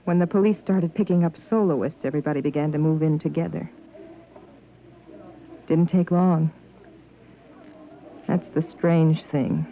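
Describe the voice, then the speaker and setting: A male townsman in an old radio drama, with a highish voice. He sounds resigned and rueful, confiding what has become of his society. The sound is narrow and phone-like, with faint hiss. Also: fairly even intonation, a little slow, vocal fry, long pauses. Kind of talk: 1950s radio drama acting.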